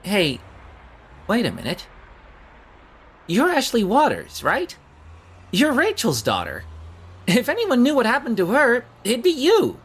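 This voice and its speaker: A woman's voice: fairly high pitch, huge pitch swings, a little slow, long pauses, husky, exaggerated voice. A woman playing an awkward, nervous young job seeker in an audio drama, hesitant, stammering and rambling. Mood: curious, pressing. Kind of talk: naturalistic audio drama acting.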